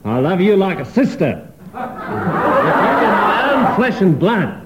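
A man hamming up a hurried stage peasant. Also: high-pitched, very animated, heavy background noise, exaggerated voice. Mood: hurried, amused. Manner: hurried, breaking into laughter. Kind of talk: mock stage play reading.

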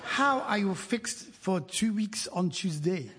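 Slow deadpan man on a stage. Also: high voice, very noisy. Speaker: panellist. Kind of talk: improvised comedy sketch. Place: theatre stage before audience. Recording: live in a hall with audience.

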